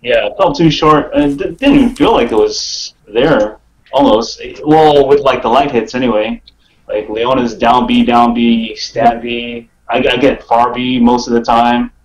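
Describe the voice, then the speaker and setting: A man's voice: mid-range voice, a little slow, rough recording. A man chatting casually as a gaming podcast guest, putting his thoughts out hesitantly in short pieces. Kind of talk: casual chat.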